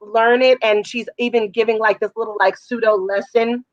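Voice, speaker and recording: A woman with a raspy voice giving casual, effusive feedback as a judge at a music showcase. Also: a little high, steady tone, moderate pace, no echo, muffled recording. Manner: effusive, chatty, full of fillers.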